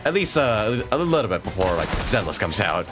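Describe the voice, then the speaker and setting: A man's voice: fairly low pitch, sing-song, brisk. A man chatting casually while livestreaming a video game, hesitant and rambling as he thinks aloud. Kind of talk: casual gaming livestream chat. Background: video game music.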